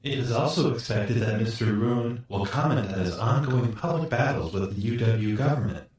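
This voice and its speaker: A man's voice: medium pitch, expressive, moderate pace, a little mellow, close to an everyday voice. Man playing a distant, echoing news reporter. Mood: serious, neutral. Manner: formal, factual. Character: straight-faced radio news reporter.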